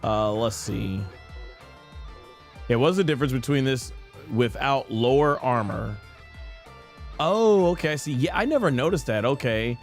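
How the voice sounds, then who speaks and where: Casual man streaming, soft music behind. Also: medium pitch, lively intonation, a little slow, pauses often. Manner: thinking aloud. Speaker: streamer and amateur voice actor. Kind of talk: casual gaming chat.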